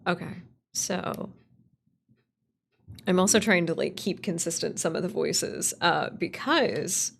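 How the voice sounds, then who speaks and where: A woman's voice: mid-range voice, vocal fry. A woman livestreamer chatting casually and playfully with viewers, rambling and swearing as she goes. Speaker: streamer, writer and voice actor.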